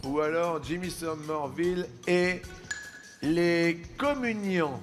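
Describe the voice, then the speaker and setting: A man's voice: a little high, slow. A man hosting a quiz show in a playful, teasing and theatrical manner, sometimes slipping into song. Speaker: game show host. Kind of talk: lively quiz show presenting with playful banter. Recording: TV studio with music behind. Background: show music.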